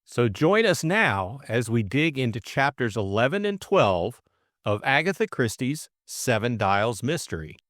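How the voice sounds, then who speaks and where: A man narrates a scripted story as an audiobook co-host. His storytelling is expressive, with a widely moving, animated pitch. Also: unhurried.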